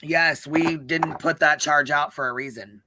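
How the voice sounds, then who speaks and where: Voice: medium pitch, steady tone, measured pace, noisy background. A livestream host chatting casually about events in an emphatic, profane way. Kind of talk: casual live commentary chat.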